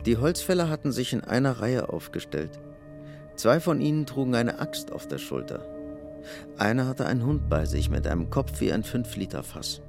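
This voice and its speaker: Creaky-voiced male narrator with lively intonation. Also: mid-range voice, moderate pace, over music. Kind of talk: scripted literary narration in German audio drama.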